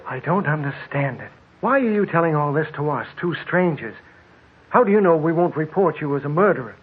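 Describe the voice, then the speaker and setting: An actor playing a puzzled, sceptical traveler with a husky voice, protesting in old-time radio horror style. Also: male voice, fairly high pitch, animated, brisk. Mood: doubtful, agitated. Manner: questioning, protesting. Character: sceptical, puzzled male traveler, uneasy stranger. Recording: tinny vintage radio recording.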